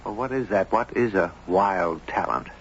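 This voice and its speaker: Muffled, low-voiced earnest man. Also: normal speed. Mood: puzzled. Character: earnest, smitten man narrating his island memories. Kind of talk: radio drama acting and first-person narration. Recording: muffled, very noisy radio broadcast recording.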